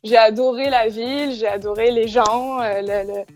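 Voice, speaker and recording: A young woman with a southern French accent lightly touched by Quebec speaks in a fairly high voice. She answers as an interview guest in a casual way, pausing and restarting as she looks for words. Faint music plays behind her. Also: steady tone. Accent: southern French with slight Quebec traces. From southern France. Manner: hesitant, searching for words.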